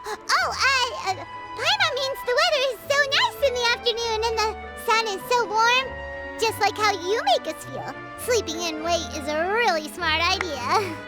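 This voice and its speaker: A squeaky, extremely high little fairy from an anime game. She is perky, cheeky and childlike, chirping with lively pitch. Loud jingly music plays behind her. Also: moderate pace. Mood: sheepish flattery. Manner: childlike, chirpy, refers to self by name. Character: tiny, perky, cheeky female fairy companion. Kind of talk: anime video game voice acting.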